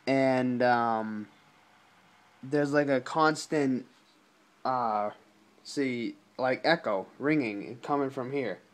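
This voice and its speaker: A young man talking casually and haltingly to viewers, as in an informal gaming vlog. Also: mid-range voice, clean tone. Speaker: gaming video creator. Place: small indoor room at a desk.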